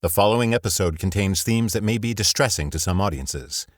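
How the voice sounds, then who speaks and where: Deep-voiced man narrating a story. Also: animated, no room sound, clean studio sound. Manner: measured, descriptive storytelling. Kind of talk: scripted audio drama narration. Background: music.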